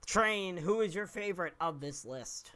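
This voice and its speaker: Hoarse male podcast host, higher voice, bright tone, casual esports chat. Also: animated, normal speed. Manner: reads nominee lists, jokes with co-hosts. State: hoarse, losing his voice. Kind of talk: casual esports awards commentary.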